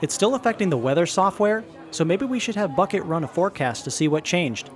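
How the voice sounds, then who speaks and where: An actor playing an earnest young tinkerer in a radio drama, lively and explanatory. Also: male voice, sits high, fairly quick.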